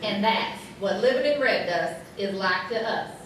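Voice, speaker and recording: A woman playing a sassy small-town local in a live radio comedy sketch, reciting her lines deadpan. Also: mid-range voice, moderate pace, a little hiss or hum, close to an everyday voice. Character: sassy small-town townswoman trading comic jabs. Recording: echoey hall, distant live stage.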